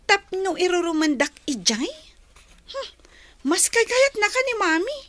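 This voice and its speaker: Audio drama role: petulant young daughter voiced by a woman, very high and bright, jealous and driven. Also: very animated, unhurried, full character voice. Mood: defiant, jealous.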